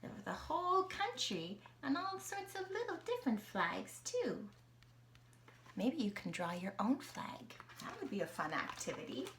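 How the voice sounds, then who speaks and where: A woman's voice: a little high, very animated, moderate pace, a bit bright, slight background noise. A woman from Canada reading a picture book aloud to a young child, asking questions and gasping at the pictures. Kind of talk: children's story reading with sing-along.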